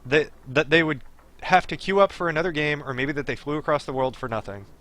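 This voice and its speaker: Bright-voiced male game streamer. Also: a little high, a little fast. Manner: chatty, digressive asides while reading narration. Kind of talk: casual game commentary mixed with reading on-screen story text aloud. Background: game music.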